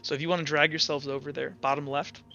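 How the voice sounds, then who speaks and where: Male game master, informal and explanatory, high register, dull lo-fi roomy sound with faint music behind. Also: level tone, fast, vocal fry, bright, forceful tone. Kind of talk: casual talk running an online tabletop roleplaying game.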